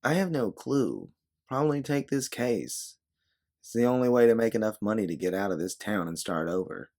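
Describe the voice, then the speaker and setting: A man reads as a blunt fugitive woman in a sci-fi audio story. She is sarcastic and bitter, with a low register and big swings in pitch. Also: lively intonation, normal speed, a little mellow. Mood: weary, explaining. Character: sarcastic, embittered fugitive woman time traveler.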